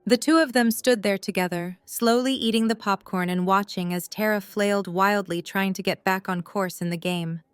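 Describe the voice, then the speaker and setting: A woman's voice: medium pitch, fairly even intonation, moderate pace, few pauses, clean tone, no room sound. A woman reading scripted story narration as the narrator of an audio drama.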